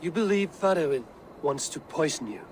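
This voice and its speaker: Man acting stoic Viking warrior, slightly high-pitched. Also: lively intonation, slight background noise, close to an everyday voice. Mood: calm, questioning. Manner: measured, solemn, terse. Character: stoic, honour-bound Viking warrior in his prime. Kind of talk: dramatic video game acting.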